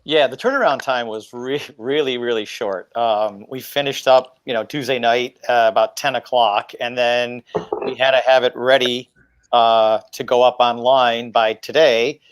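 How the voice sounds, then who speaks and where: A middle-aged man speaks at a medium pitch with a dry, echo-free sound. He explains things in a casual way over a video call on an earbud mic. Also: steady tone, measured pace, clean tone. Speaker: video editor and panel guest. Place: indoor home room with wooden glass cabinet, video call. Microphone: wired earbuds microphone.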